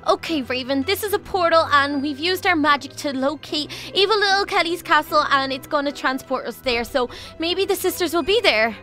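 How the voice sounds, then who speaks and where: A woman playing a young princess in a game roleplay, with a very high, bright voice. She takes charge earnestly and explains things to her siblings, with music playing underneath. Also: lively intonation, moderate pace, hardly any pauses. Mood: serious, instructing. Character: young princess sister, earnest and bossy leader. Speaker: roleplay channel performer. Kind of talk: improvised children's game roleplay.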